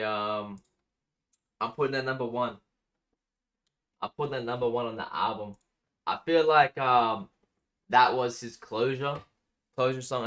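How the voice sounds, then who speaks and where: A man's voice: lowish voice, fairly bright tone. A man hosting a music reaction video, talking casually and enthusiastically with lots of fillers. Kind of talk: casual reaction commentary over a song.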